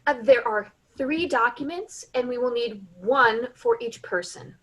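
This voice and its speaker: A woman playing a prim, flustered grief counselor in a staged dark comedy, speaking politely and formally with hesitations. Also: fairly high pitch, unhurried, natural voice.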